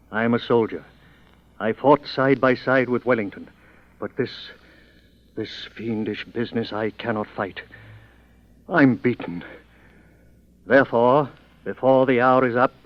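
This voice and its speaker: An actor playing a grave, weary but resolute British lord and soldier, solemn and declamatory, in old-time radio drama. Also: male voice, mid-range voice, fairly even intonation, measured pace, pauses often, close to an everyday voice. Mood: defeated. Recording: muffled old radio recording with music.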